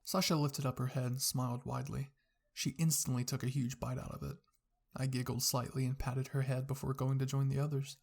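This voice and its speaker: Narrator for scripted ASMR roleplay fiction; raspy voice with fry. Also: medium pitch, flat delivery, a little fast, darkish tone. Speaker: narrator reading fan fiction.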